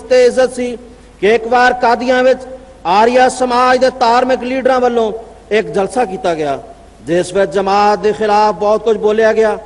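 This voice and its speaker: A man with a Punjabi accent giving a formal religious speech at a convention, declaiming in measured phrases and pausing to read out citations. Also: sits high, a little mellow, big-room sound. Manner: declaiming with long pauses, reading out citations. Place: convention gathering.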